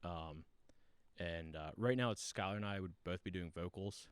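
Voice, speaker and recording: A man's voice: low voice, normal speed, clean tone, dry recording. A young man as a podcast guest in easygoing casual chat, agreeable and full of "yeah"s.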